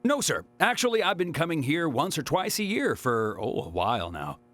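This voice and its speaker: A man's voice: on the high side, wildly swooping pitch, normal speed, fairly bright tone. An actor playing a jovial, talkative travelling salesman in a video game cutscene, with a folksy, chatty manner. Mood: cheerful, relaxed. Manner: chatty, folksy, chuckling, exclamatory.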